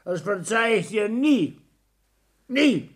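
A man's voice: high voice, expressive, slow, full character voice. An actor playing a bitter, domineering, ageing Bavarian businessman with a scolding, sentimental manner in a radio play. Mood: scornful. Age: middle-aged or older.

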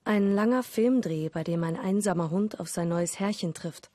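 A woman narrating a radio play, reading scripted dramatic prose in measured storytelling phrases. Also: medium pitch, dark tone, no echo, clean studio sound.

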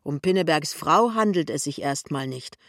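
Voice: mid-range voice, expressive, moderate pace, fairly bright tone, dry recording, studio quality. A narrator voicing an indignant male office colleague in an audiobook, firm, reproving, clipped and authoritative. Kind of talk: audiobook character dialogue.

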